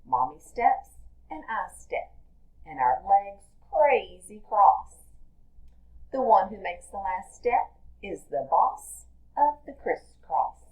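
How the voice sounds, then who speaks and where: A woman teacher reading a picture book aloud to young children in a deliberate, expressive storytelling manner. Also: mid-range voice, narrow, tinny audio.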